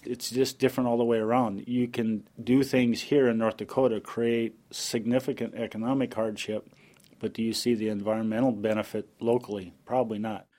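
A man's voice: mid-range voice, flat delivery, brisk, warm tone. An American man, a state official, speaking in an interview soundbite. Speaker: state environmental regulator.

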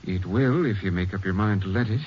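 An actor playing a calm, clinical, reassuring psychiatrist in a 1950s radio drama. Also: male voice, lowish voice, brisk, close to an everyday voice. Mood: calm, professional. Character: composed, reassuring male psychiatrist. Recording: muffled old radio recording, faint noise.

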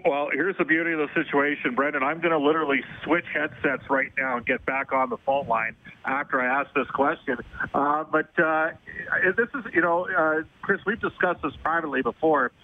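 A man's voice: fairly high pitch, moderate pace, no echo. A man hosting a radio interview, asking long, rambling questions. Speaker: radio show host. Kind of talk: casual interview over the phone. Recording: tinny phone line, dry.

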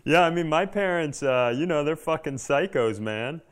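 A man's voice: on the high side, expressive, normal speed. A man co-hosting a podcast, chatting casually and candidly in a rambling way with joking, profane asides. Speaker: podcast co-host. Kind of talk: casual comedic chat.

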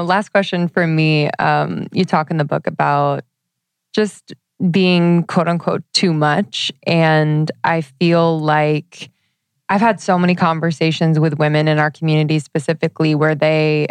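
A woman host with a notably low voice asks her guest long, reflective questions and affirms the answers. The sound is dry and close, with no room echo. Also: smooth. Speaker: interview host. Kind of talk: casual interview.

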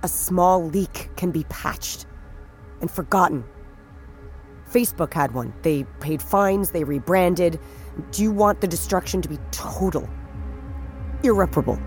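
A performer playing a determined whistleblower in an audio drama, intense and methodical, explaining and probing in a deliberate way. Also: medium pitch, faint music behind, natural voice. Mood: challenging, intense. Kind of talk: naturalistic audio drama acting.

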